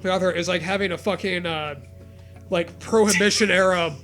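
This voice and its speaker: A man co-hosting a comedic fan fiction reading, profane, sarcastic and excitable, with laughter often in his delivery. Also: sits high, a little fast, soft background music. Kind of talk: comedic read-aloud with riffing.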